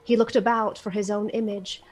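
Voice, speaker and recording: A woman narrating a dramatic story from a script in a measured, solemn manner. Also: mid-range voice, little pitch movement, soft background music.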